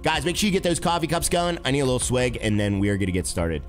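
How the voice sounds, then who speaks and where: A man with a raspy throat, streaming a game casually and chatting with viewers as host. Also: medium pitch, fast, soft background music. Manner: animated, talks to chat and reads comments aloud.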